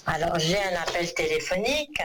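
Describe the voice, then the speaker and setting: A man's voice: high-pitched, expressive, moderate pace, noisy background. A man reading a caller's future on a phone-in show, reassuring and full of hesitant fillers. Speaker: clairvoyant phone-in host.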